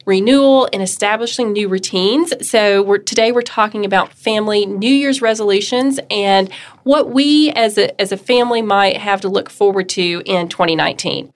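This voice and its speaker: A middle-aged woman co-hosts a casual studio conversation in an ordinary mid-range voice. She speaks reflectively and in full, steady sentences. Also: mid-range voice, normal speed. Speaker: co-host. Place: radio studio.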